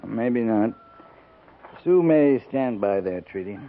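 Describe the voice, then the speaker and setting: An American man playing a seasoned cavalry captain in a 1950s radio western, speaking in terse, measured orders. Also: lowish voice, animated. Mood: quiet, weary. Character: seasoned, steady male cavalry captain on the frontier. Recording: tinny, noisy 1950s radio recording.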